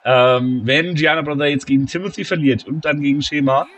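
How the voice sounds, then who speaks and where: A man with a cold, commentating a video game wrestling tournament, shouting at the big moments and calmer between them. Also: a little fast, a little hiss or hum. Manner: shouts and gets high-pitched at big moments, calmer when tallying scores. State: has a cold, slightly hoarse. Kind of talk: excited play-by-play commentary.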